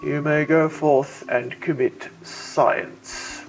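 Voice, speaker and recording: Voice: medium pitch, steady tone, poor audio. A narrator voicing a pompous male bird-like alien mayor full of bureaucratic contempt, in an audiobook story. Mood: grudging contempt. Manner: pompous, clipped contempt, drawn-out emphasis. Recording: music bed behind.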